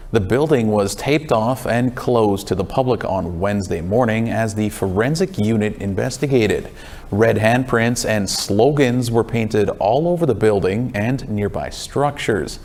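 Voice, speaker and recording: A man reading scripted news as an anchor in a measured broadcast style. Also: lowish voice, gravelly, darkish tone.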